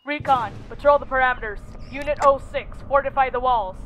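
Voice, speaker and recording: A woman's voice: high-pitched, fairly quick, fairly bright tone, slight background noise. A woman voicing a stern, commanding military squad leader giving battle orders in a game style.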